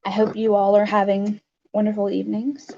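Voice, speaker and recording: A livestream host with a raspy voice, chatting casually and haltingly with viewers, with many fillers. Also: medium pitch, completely flat delivery, mellow, lo-fi sound.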